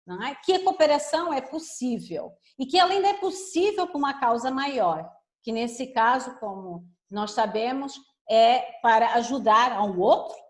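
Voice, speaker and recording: Earnest high-voiced woman from Angola. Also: animated, moderate pace, roomy sound. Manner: earnest, with many "não é?" tags. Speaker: book co-author. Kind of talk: speech at a web conference.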